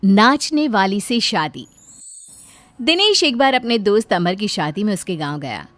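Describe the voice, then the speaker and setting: A woman's voice: medium pitch, big pitch swings, measured pace, fairly bright tone, no room sound. A woman reading scripted storytelling narration for a moral story. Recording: background music under the voice.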